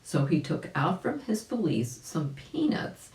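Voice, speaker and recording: A woman's voice: deep voice, very animated, a little slow, a little mellow. A woman narrating a children's story, explaining things and speaking directly to young listeners. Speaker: volunteer storybook reader. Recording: echoey room.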